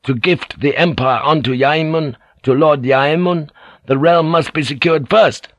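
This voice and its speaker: A man voicing a young samurai son who is excitable and eager, words tumbling out in a rush. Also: a little high, no room sound, lo-fi sound, voice pushed up. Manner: eager, bursting, rushing.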